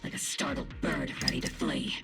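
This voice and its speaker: An actress playing an earnest, loyal military squad captain in a video game, measured and firm, with a creaky voice. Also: female voice, lightly acted. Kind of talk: scripted video game voice acting. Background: clear game music.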